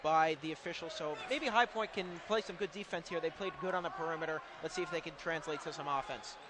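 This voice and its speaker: A man's voice: fairly high pitch, fast talker. A man giving live color commentary on a basketball game in a conversational way. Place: indoor college basketball arena. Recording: noisy courtside gym broadcast. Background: gym noise, bouncing basketball.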